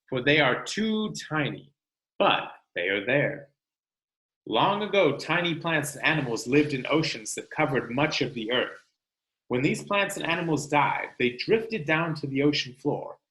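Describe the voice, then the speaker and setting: A man reading a book aloud to children like a teacher, expressive and instructional, pausing to explain. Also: mid-range voice. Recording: roomy, echoing sound.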